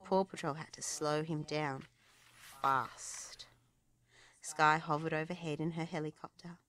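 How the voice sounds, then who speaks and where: Low-pitched woman reading a storybook. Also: steady tone, unhurried, fairly bright tone. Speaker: children's book narrator. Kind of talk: storybook read-aloud narration.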